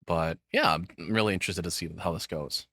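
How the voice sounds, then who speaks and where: A man with a fairly low voice and a forceful, bright edge, recorded very dry. He talks off the cuff as a reaction host, blunt and sweary, and gets exclamatory when worked up. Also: fairly quick, dry recording. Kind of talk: casual, unscripted reaction commentary.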